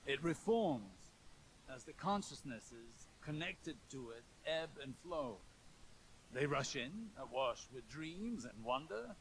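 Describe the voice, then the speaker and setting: An actor playing a thoughtful, troubled scholar, speaking formally and eloquently in a reflective video game monologue. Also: male voice, medium pitch, a bit bright, slight background noise, poor audio. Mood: pensive.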